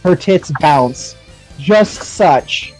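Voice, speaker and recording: A man's voice: on the high side, expressive, very slow, a little mellow, faint music behind, poor audio. A man chatting casually on a game stream, with slangy, sweary talk.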